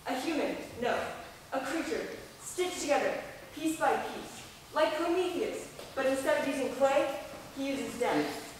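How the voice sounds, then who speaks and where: Schoolgirl as bold young horror author, teasing stage storyteller, high voice, heard far off in a reverberant hall. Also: expressive, measured pace. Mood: menacing, teasing. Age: child or young teen student. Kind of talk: stage reading of a gothic radio play. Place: large echoing school performance space. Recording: echoey hall from far off, faint noise.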